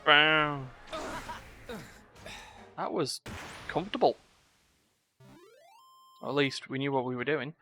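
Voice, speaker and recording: A man's voice: medium pitch, moderate pace, long pauses. A man giving casual live gameplay commentary as a streamer, with offhand, wry asides. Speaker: game streamer. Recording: faint game music behind.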